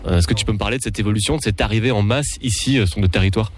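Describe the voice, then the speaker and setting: A man hosting a radio interview, asking brisk, inquisitive questions. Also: fairly low pitch. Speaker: radio host.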